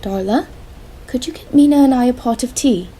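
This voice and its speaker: An actress playing a caring young gentlewoman in a radio drama, soothing and coaxing in manner. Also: on the high side, fairly quick, slightly rough.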